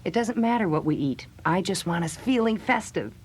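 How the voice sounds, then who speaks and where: Husky, lively-voiced patient mother. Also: medium pitch, normal speed. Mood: cheerful, announcing. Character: patient, festive mother of a busy household. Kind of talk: scripted audio drama dialogue.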